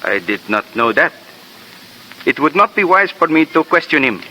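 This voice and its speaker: An actor playing a cautious, deferential man in a 1950s radio drama, speaking in stiff, formal, measured phrases. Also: on the high side, very animated, sharp tone, full character voice. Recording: noisy vintage radio recording.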